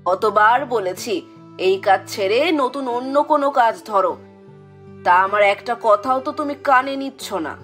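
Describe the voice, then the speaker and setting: A woman's voice: a little high, normal speed, faint music behind, close to an everyday voice. A woman voicing a scolding, exasperated village wife in a fairy-tale cartoon. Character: nagging, fed-up village wife.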